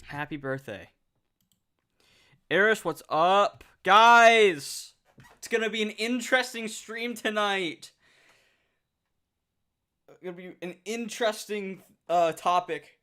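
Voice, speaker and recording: A nineteen-year-old man chatting casually on a livestream, reading out viewer comments, excitable and swearing freely. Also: high voice, takes it slowly, long pauses, smooth.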